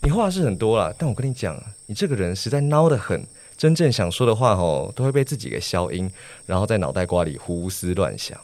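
A man playing a blunt, teasing high school best friend in a radio play, speaking with a Taiwanese accent and plenty of sighs and exclamations. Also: big pitch swings, brisk, hardly any pauses, darkish tone. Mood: mocking, frank. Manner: slangy, sighing, exclaiming, mocking. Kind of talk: Mandarin radio play acting.

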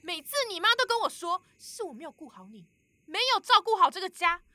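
A woman playing a high-voiced, worried working mother. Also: big pitch swings, fast, heavy background noise. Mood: pleading. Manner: pleading, defensive. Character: worried, hard-pressed working mother and wife. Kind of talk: radio drama acting.

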